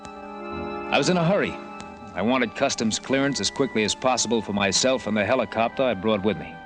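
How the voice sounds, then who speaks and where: An actor playing a brash, wry American helicopter pilot in a hard-boiled old-time radio drama. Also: male voice, medium pitch, a bit bright, natural voice. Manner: wry, laconic, wisecracking. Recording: vintage radio recording, music behind.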